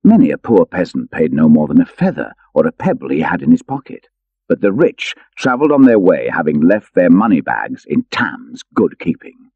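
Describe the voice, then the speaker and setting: A man reading an adventure story as an audiobook narrator, in an expressive, theatrical storytelling manner. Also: sits low, normal speed, vocal fry, no room sound, narrow, tinny audio.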